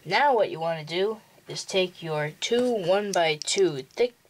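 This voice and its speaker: A man's voice: sits high, lively intonation, a little hiss or hum. A young male host giving a plain, step-by-step walkthrough for building a toy model. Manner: slow, plain instructional walkthrough. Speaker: tutorial host.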